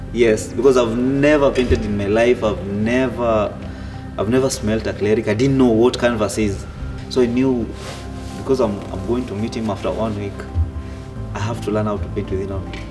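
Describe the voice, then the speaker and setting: A man, telling his own story in a relaxed way with many fillers and restarts. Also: fairly low pitch. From Kenya. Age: 30s. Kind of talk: casual personal storytelling. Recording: distant mic with music behind.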